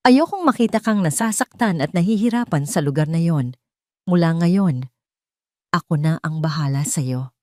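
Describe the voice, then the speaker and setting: A woman voicing a wealthy, protective, quietly controlling man, speaking calmly and with assurance in an audio drama. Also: low-pitched, moderate pace, no room sound, studio quality.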